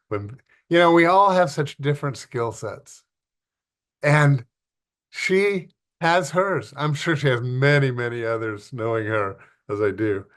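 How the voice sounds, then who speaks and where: A man's voice: mid-range voice, fairly bright tone. Folksy, enthusiastic man hosting a show. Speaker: TV show host. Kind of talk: casual, chatty introductions to talent acts.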